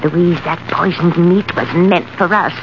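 Emphatic, suspicious old aunt. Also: female voice, fairly low pitch, fairly even intonation, a little slow. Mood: grave suspicion. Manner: dramatic, emphatic. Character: indignant, suspicious, bossy old spinster aunt. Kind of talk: 1940s radio acting. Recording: muffled old radio recording, loud noise.

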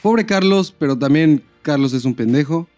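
A man's voice: fairly high pitch, animated, normal speed, a little mellow, no echo, soft background music. A man with a Mexican accent co-hosting a podcast in casual, slangy talk full of swearing. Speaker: podcast co-host. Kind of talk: casual chat.

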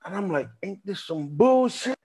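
A man telling a story in casual podcast chat, animated and swearing freely. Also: sits high, normal speed, breathy edge. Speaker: podcast co-host.

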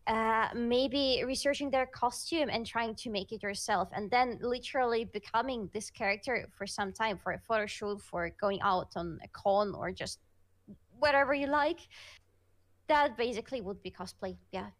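A woman's voice: fairly high pitch, moderate pace. A woman whose first language is Russian, a streamer chatting as a guest in a casual interview, talking freely with frequent laughs and hesitant fillers.

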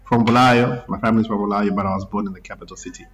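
Relaxed man in his thirties. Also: fairly low pitch, racing, slight background noise. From Zimbabwe. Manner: relaxed, laughs easily, self-correcting. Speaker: guest musician. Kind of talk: casual interview talk.

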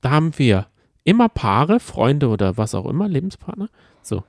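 A man co-hosting a podcast, chatting casually about reality TV in a rambling, halting way as he thinks aloud. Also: mid-range voice, big pitch swings, gravelly, darkish tone, no room sound, clean studio sound. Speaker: podcast co-host.

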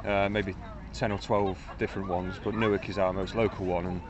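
A man, a father speaking in a measured way. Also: sits low, level tone, lo-fi sound. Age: 30s. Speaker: parkrun runner, father. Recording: outdoors with crowd noise.

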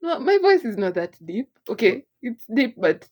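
A Kenyan woman co-hosting a relaxed podcast. She talks in a lively, playful way, breaks into laughter often, and keeps her voice a little on the high side. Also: normal speed. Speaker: podcast co-host. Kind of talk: casual chat, code-switching.

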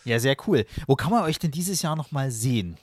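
A man hosting a podcast, interviewing a band at a festival in a relaxed, friendly way and switching to English for one guest. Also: medium pitch, fairly quick, no room sound.

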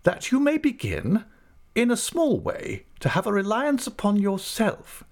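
A stern, decisive elderly aunt, as a male narrator puts her on in a storybook reading. She speaks high and emphatically, with a firm, commanding air beneath her fondness. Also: wildly swooping pitch, measured pace, gravelly, heavily acted. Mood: brisk, emphatic. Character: brisk, stern but fond elderly aunt, a woman. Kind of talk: audiobook character voice.

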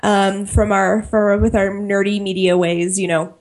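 A young woman co-hosting a casual podcast, chatty and quick to laugh, often restarting her phrases. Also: mid-range voice, level tone, noisy background. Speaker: podcast co-host.

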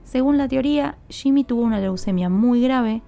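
A woman hosting a podcast, telling a scripted story in a measured, explanatory way with emphatic openers. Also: on the high side, vocal fry, dark tone. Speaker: podcast host.

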